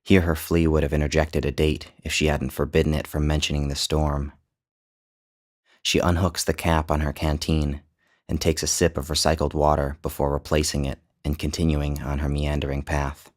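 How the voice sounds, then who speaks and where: A man narrating his own literary short story in a measured, descriptive storytelling manner. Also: very deep voice, little pitch movement. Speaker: author and narrator.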